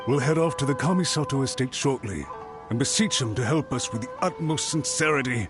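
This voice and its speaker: A man's voice: mid-range voice, expressive, fairly quick, music in the background, natural voice. A man voicing an anxious, remorseful official in a video game, speaking formally and deferentially as he pleads. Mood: humble, resolved.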